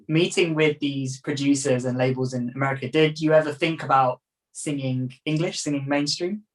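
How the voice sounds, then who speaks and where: A man hosting a casual interview and asking relaxed, supportive questions. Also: mid-range voice, moderate pace, recorded from a distance, poor audio. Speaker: podcast host.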